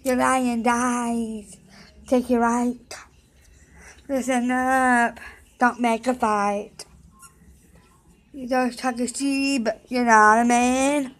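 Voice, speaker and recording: A young woman singing songs loosely and loudly into a close webcam in an office room, shouting and chanting at times. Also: fairly high pitch, flat delivery, very slow, pauses often. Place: indoor office room with desks and computers. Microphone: webcam at close range, with headphones on.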